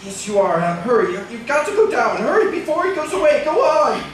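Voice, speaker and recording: A desperate, stammering husband, echoey recording. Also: male voice, very high voice, very animated, a little fast. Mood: urgent, coaxing. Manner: stammering, agitated, melodramatic. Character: desperate guilt-ridden husband turned killer, pleading and bullying. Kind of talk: old-time radio drama acting. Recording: echoey distant old radio recording, hissy.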